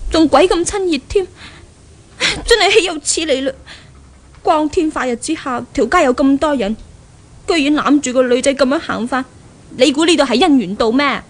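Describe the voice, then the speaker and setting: A woman with a Hong Kong Cantonese accent playing an impulsive, jealous young woman spurned in love, thinking aloud in exclamations in a 1970s radio drama. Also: high voice. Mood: shocked, indignant. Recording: noisy old radio drama recording.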